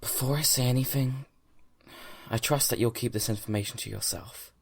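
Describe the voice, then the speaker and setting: Earnest young man, acted, medium pitch. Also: moderate pace, creaky. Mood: careful, guarded. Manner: earnest, careful, hesitant. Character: earnest, loyal young male military subordinate. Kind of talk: dramatic voice acting in a fan audio drama.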